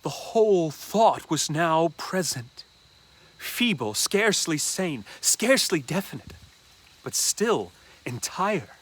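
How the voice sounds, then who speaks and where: A man reading a classic horror story aloud as dramatic first-person narration, deliberately and with dramatic pauses. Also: fairly high pitch, a bit bright.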